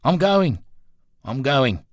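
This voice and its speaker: A man's voice: medium pitch, lively intonation, unhurried, a bit bright, no echo, studio quality, voice pushed up. A man voicing a defiant, resentful man who protests and threatens in a narrated story. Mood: backing down. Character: defiant bruised man pleading his case, resentful, menacing.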